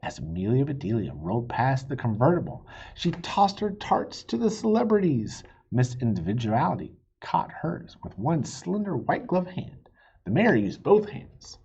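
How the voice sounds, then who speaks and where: Man, children's story reader, playful, expressive, husky with some fry, lively intonation, slightly lo-fi sound. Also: mid-range voice, moderate pace. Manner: playful, expressive, chuckles while reading. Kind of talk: animated children's story reading.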